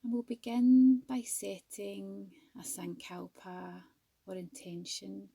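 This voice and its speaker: A woman teaching yoga nidra as a guided meditation, speaking softly and soothingly with gentle, measured pauses. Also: mid-range voice, creaky, breathy edge, no room sound.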